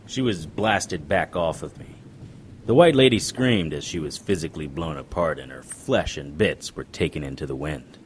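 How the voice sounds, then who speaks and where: A cool, wry male occult detective tells his tale in noir audio drama style. The voice sits low and dry, with faint noise behind it. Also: normal speed. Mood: grim.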